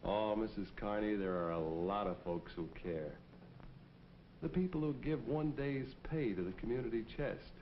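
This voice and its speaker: An actor playing a kindly, earnest neighborhood policeman in a 1940s American public-service film, speaking in a folksy, gently persuasive way. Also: fairly low pitch, normal speed, a little hiss or hum, close to an everyday voice. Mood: kindly persuasion. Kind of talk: 1940s propaganda film acting and narration. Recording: dull vintage film soundtrack, music behind. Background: music.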